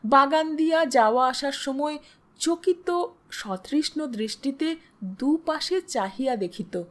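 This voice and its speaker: A woman's voice: high voice, lively intonation, slow pace. A woman narrating a literary story expressively, as in an audiobook.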